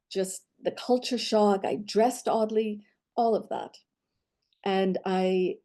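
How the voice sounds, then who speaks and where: A middle-aged woman telling her personal story in an interview, reflective and measured, often saying "you know". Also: mid-range voice, dark tone. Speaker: guest storyteller.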